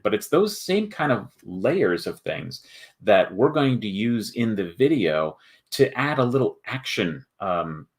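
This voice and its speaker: A man hosting a casual livestream, explaining in a halting way and thinking aloud as he goes. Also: deep voice. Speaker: host, board game video maker.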